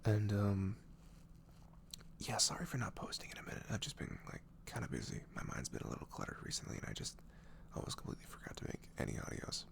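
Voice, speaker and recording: A man speaking softly in a casual, hesitant, rambling ASMR style, apologetic in manner. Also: fairly low pitch, very animated, quick pace, vocal fry. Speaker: audio roleplay creator. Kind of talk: soft-spoken ASMR, casual rambling intro.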